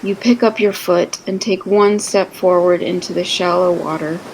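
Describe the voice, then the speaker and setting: A woman narrating a scripted guided meditation in a soothing, deliberate manner with gentle pauses between phrases. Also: lowish voice, fairly even intonation, slight background noise, low-quality recording.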